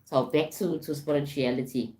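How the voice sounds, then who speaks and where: A woman with a remarkably deep, low voice hosts a casual spiritual live stream. She talks loosely and keeps checking in with her viewers, repeating words as she goes. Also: fairly even intonation, normal speed, mellow, poor audio. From South Africa. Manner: repetitive, frequent "right?" check-ins. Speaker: livestream host, spiritual coach.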